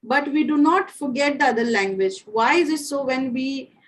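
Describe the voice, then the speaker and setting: A woman whose first language is Bengali leads a classroom discussion in English. Her voice is high, and she keeps putting questions to the students, pausing on fillers as she thinks. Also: a little hiss or hum. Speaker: teacher.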